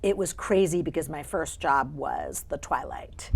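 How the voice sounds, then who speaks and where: A woman voice actor, a guest on a studio talk show, telling stories about her career in a lively, expressive way. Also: low-pitched, measured pace, gravelly, a little hiss or hum. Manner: animated, expressive storyteller. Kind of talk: interview storytelling. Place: TV studio couch.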